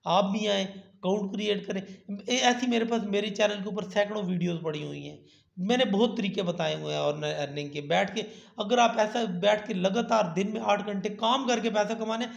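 Male host, tutorial voice-over, high and gravelly, echoey lo-fi sound. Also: moderate pace. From Pakistan. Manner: repeats "theek hai" often, turns persuasive and emphatic toward the end. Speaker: channel host. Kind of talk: screen-recorded tutorial talk.

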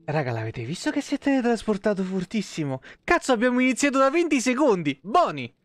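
A high-voiced man in his thirties streams from a room at home. He talks casually and rambles, with plenty of swearing. He sits close to the microphone, with faint music behind him. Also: very animated, fast. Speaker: game streamer. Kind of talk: casual live stream chat. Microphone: close desk microphone on an arm.

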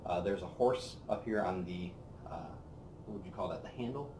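A young man hosting a whiskey review, chatting casually on an outdoor patio, relaxed and rambling with plenty of fillers. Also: low-pitched, moderate pace, background noise. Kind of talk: casual tasting chat. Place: outdoor patio by a brick wall. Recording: roomy outdoor sound with faint ambience.

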